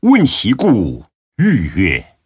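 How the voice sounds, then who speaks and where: A man with a husky voice giving a solemn, measured and dramatic narration of classical religious biography. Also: lowish voice, wildly swooping pitch, a little mellow, no room sound, studio quality.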